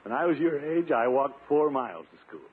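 A man's voice: mid-range voice, very animated, fairly quick, slightly rough. A man playing a pompous, blustering middle-aged uncle in 1950s radio comedy style, indignant and self-important. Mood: stubborn. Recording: tinny, noisy 1950s radio recording.

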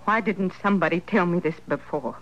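A woman's voice: fairly low pitch, fairly quick, fairly bright tone, close to an everyday voice. A woman acting in an old-time radio western as a plucky, earnest frontier daughter with a rural Western American accent. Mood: earnest, insistent. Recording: dull old radio recording, faint noise.

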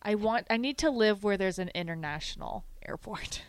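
A woman's voice: medium pitch, clean tone. A young woman with a South Dakota accent hosting a sports radio show, chatting and joking casually from the studio. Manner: chatty, joking, quick asides.

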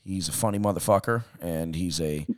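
Low-voiced man hosting a casual podcast. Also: a little fast. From Long Island, New York, United States. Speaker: podcast host.

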